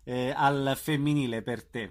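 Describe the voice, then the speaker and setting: A man's voice: medium pitch, steady tone, unhurried. A man interviewing guests in a conversational radio style, putting his questions informally.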